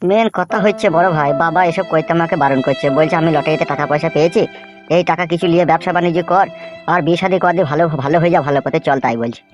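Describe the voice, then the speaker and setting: A man's voice: a little high, racing, over music, lightly acted. A man voicing a young, deferential gangster henchman in a comedy cartoon, plaintive. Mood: earnest. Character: young male gangster underling, deferential and whining.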